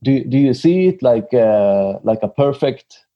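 A man interviewing a guest, asking questions in a halting way full of fillers. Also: medium pitch, animated, muffled recording.

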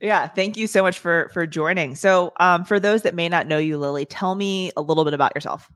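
A woman's voice: fairly low pitch, fairly quick, fairly bright tone, no echo, clean studio sound. Upbeat woman podcast host. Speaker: podcast host, real estate agent. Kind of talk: casual podcast interview.

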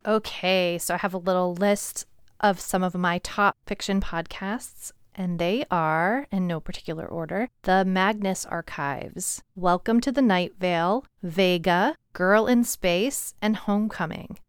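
Woman, casual podcast co-host and writer. Crisp, resonant voice, upbeat, dry recording. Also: medium pitch, dry recording.

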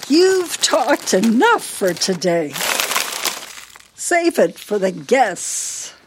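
An actress with an American accent playing a bossy, forgetful, scolding older wife in a radio play. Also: female voice, on the high side, big pitch swings, extremely slow, background noise, full character voice. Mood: weary, bickering. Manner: scolding, fussy.

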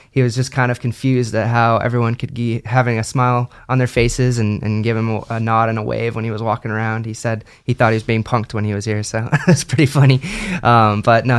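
A man's voice: medium pitch, clean tone. A young man answering interview questions in an easygoing way, with lots of ums and friendly agreement. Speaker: guest musician.

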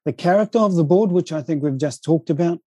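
A man's voice: a little high, quick pace, dark tone, dry recording, studio quality. A man with a New Zealand accent, speaking in a considered, conversational way as an interview guest.

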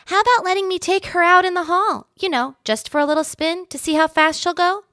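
A woman's voice: extremely high pitch, brisk, bright, forceful tone, no room sound, clean studio sound, voice pushed up. A woman voicing a young male mouse who is eager, boastful and pleading in a storybook reading.

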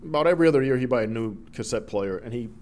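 Animated Dominican-born man telling stories. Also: mid-range voice, rapid-fire, slight background noise. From Dominican Republic. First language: Spanish. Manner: animated storyteller, acts out quoted voices. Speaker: broadcaster, guest. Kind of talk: storytelling interview.

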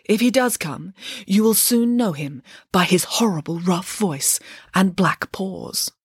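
A woman's voice: mid-range voice, unhurried, husky, dry recording, clean studio sound. A woman voicing a glamorous, vain mother pig from a storybook, grand and earnest with her children. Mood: grand warning.

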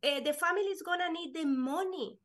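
A young woman whose first language is Spanish, talking earnestly in halting English with fillers as an interview guest. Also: very high voice, fairly bright tone. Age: young adult. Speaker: guest, life insurance agent. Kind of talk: casual interview chat.

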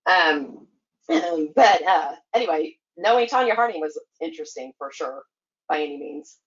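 A woman's voice: low voice, animated, measured pace, muffled recording. A woman guest on a podcast, chatty and easygoing, using lots of fillers and laughing easily. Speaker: guest, skating association staff. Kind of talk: casual podcast interview.

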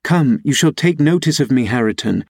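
A man's voice: mid-range voice, lively intonation, measured pace, slightly rough, no echo, clean studio sound. A man voicing a willful young woman who pleads insistently with her cousin in an audiobook novel.

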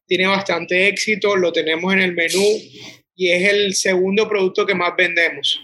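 Bright-voiced young Colombian man. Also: high-pitched, flat delivery, normal speed. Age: 20s. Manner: rambling, many restarts and "o sea". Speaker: restaurant owner. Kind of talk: casual interview answers.

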